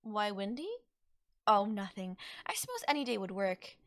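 Coy young-sounding witch, acted by a woman, cryptic and teasing, animated melodic swings, close dry sound, ASMR roleplay. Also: medium pitch, no room sound. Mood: musing, cryptic. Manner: coy, speaking in riddles, musing pauses. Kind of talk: ASMR audio roleplay, acted straight to the listener.

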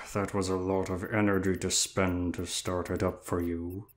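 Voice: deep voice, flat delivery, measured pace. An actor in an audio drama playing Sloth, a lazy, weary demon with a drawling, indifferent manner. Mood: weary, bored.

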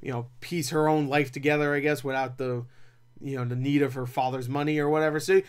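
A young man talking casually as a film reviewer, rambling and animated, with opinionated asides. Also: medium pitch, fairly quick, clean tone. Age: young adult. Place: small cluttered room at home.

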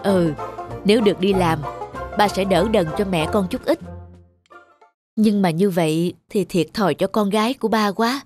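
A woman voicing a gentle, devoted father in a cartoon, speaking tenderly. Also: medium pitch, normal speed, pauses often, faint music behind, natural voice. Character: gentle blind father, devoted to his little daughter. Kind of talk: cartoon dubbing.

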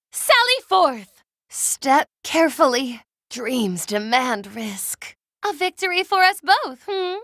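A woman's voice: sits high, bright, forceful tone, no room sound, clean studio sound, heavily acted. A woman voicing a haughty young noblewoman mage in a video game, with imperious, formal and theatrical diction. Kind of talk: short battle voice clips.

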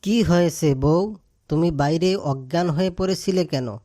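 Cartoon jackal father, voiced by a woman, low mellow voice, dry and close. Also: no room sound, lightly acted. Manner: measured, gentle questioning. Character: jackal father and husband, grieving and calm.

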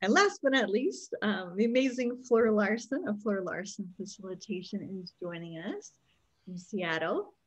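A woman in her forties hosts a panel over a video call from a small room at home. She introduces each guest in a bright, effusive way, at a middling pitch and an easy, chatty pace. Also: lively intonation, normal speed, low-quality recording. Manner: upbeat, effusive. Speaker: host. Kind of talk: casual panel hosting.

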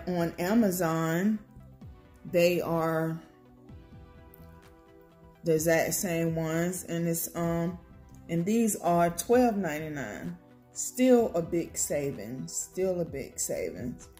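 An American woman vlogging casually at home, comparing prices in an unhurried, chatty way with lots of ums. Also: fairly low pitch, lively intonation, warm tone, faint music behind. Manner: chatty, unhurried, many ums and self-corrections. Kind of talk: casual product comparison vlog. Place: home table, indoors.

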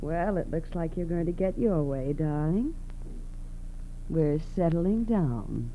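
Slow-talking, hard-edged lady crook. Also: low-pitched, lively intonation, mellow. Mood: bitter, resigned. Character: hard-edged, unsentimental female car thief, wife and partner in crime. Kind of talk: 1940s radio crime drama acting. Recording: noisy vintage 1940s radio recording.

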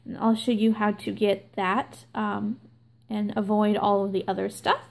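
A young woman hosting her own nail art channel, explaining a stamping tutorial slowly and with many ums. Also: mid-range voice, little pitch movement, creaky. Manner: slow, filler-heavy explaining with many ums. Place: indoors at a table, hands filmed from above.

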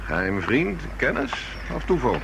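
Probing police inspector, noisy recording. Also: male voice, mid-range voice, huge pitch swings, measured pace, vocal fry, full character voice. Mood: brisk, dry. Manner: questioning, matter-of-fact. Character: probing, businesslike male police chief inspector, middle-aged. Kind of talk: Dutch radio drama dialogue. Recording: noisy old radio broadcast recording.

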